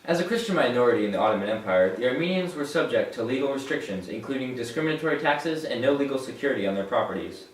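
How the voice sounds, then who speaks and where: A man's voice: mid-range voice, animated, quick pace, hardly any pauses. A young man reading a scripted news report as a student anchor. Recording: echoey room, distant mic.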